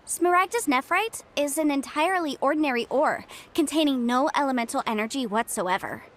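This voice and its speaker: Sharp young lawyer girl, high lively voice, game music under it. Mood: confident. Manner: brisk, self-assured, playful. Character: young woman legal advisor, sharp and confident. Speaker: legal advisor. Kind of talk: game voice acting. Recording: studio voice over game music.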